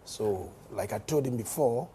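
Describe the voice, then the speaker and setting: A hoarse man with a Ghanaian accent, a member of parliament speaking in a studio interview, measured and given to repeating words as he explains at length. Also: mid-range voice, animated, mellow, a little hiss or hum. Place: television studio.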